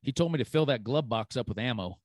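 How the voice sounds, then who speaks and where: A man's voice: medium pitch, lively intonation, fast talker, dry recording, clean studio sound. A man hosting a hunting podcast, chatting casually and easygoing, with lots of "you know" fillers. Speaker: podcast host.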